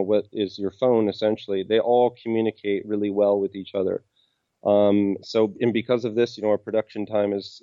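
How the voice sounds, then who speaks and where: Man, American accent, low flat voice, hesitant with ums, dry, slightly dull sound. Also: no echo. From United States. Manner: hesitant, many ums and restarts. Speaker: game company representative. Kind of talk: interview answers.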